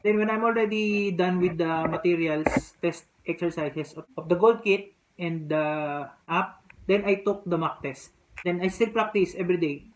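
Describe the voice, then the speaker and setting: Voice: medium pitch, moderate pace, rough recording. A native Filipino speaker with a Filipino accent, casually explaining practical test tips to the camera. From Philippines. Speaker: vlogger giving test tips. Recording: clear background noise.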